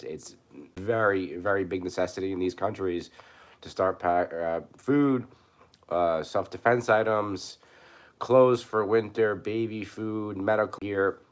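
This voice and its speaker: A man with a very low, hoarse voice talks into his webcam, a bit rough from a cold. He rambles and circles back, stumbling through restarts and fillers in a casual, unscripted way. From New York. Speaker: prepper channel host. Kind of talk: casual live commentary.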